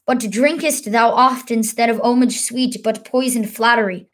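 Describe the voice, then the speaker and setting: A woman's voice: a little high, normal speed, close to an everyday voice. A woman reading the part of a troubled, brooding king in Shakespearean verse, declaiming and sometimes restarting a stumbled word. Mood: questioning, scornful. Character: troubled young warrior king, brooding on burdens of rank.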